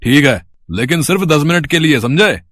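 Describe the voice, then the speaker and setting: A man voicing a scornful village policeman in a storybook tale, gruff, bossy and sneering. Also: on the high side, lively intonation, moderate pace, background noise, pitched below the performer's own voice, natural voice. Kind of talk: acted storybook dialogue.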